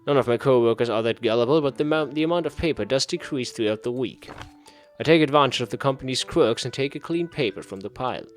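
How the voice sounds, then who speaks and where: A man's voice: mid-range voice, fast, faint music behind. A man narrating a visual novel aloud during a playthrough, with a dramatic read-aloud delivery. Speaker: gaming video creator.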